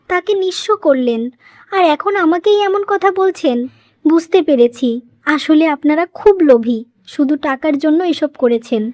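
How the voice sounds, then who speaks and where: Woman acting a high-pitched, wronged young cartoon wife. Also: darkish tone, no room sound, clean studio sound, close to an everyday voice. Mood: indignant. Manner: pleading, earnest. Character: pleading, wronged young wife, loving and long-suffering. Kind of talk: moral-story cartoon dubbing.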